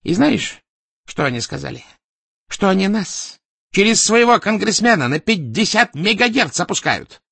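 Guy doing an angry bossy executive, high strained yelling, husky, interrogating everyone. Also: sing-song, normal speed, dry recording, exaggerated voice. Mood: furious. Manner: barking, interrogating, menacing. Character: furious domineering male media boss.

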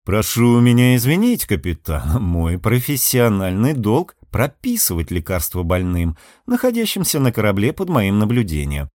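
A man voicing a sixty-year-old ship's doctor in an audiobook, dryly ironic, with a measured clinical manner. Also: mid-range voice, darkish tone, no room sound, clean studio sound, pitched below the performer's own voice. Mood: formal protest.

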